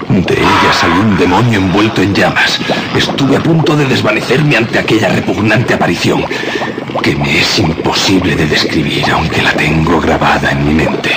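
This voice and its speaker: An actor playing a frightened shepherd giving a solemn, suspenseful witness account in a radio horror drama. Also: male voice, deep voice. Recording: very noisy radio drama recording.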